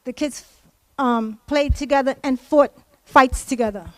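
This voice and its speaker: A woman's voice: high-pitched, measured pace. A woman speaks publicly as a community member. Her delivery is impassioned and emphatic, leaning on repeated phrases. The sound is dry, with very little echo. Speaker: community member giving testimony. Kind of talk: impassioned public speech at a memorial event.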